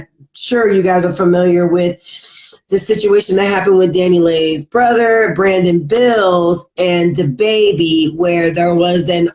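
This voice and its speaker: A woman with a heavy, raspy, congested voice, lowish and dark in tone. She chats casually as the host of a live podcast, filling gaps with "like" and "um" and clearing her throat often. She is heard over a phone stream. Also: rough recording. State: congested from allergies. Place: at home in Virginia.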